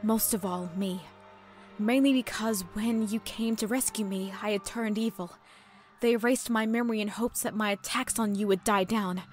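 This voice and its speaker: A woman's voice: medium pitch, fairly even intonation, normal speed, soft background music, natural voice. A woman voicing an earnest young pony heroine. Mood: worried. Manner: earnest, urgent. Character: young half-god pony heroine, determined and hopeful. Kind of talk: fan audio drama acting.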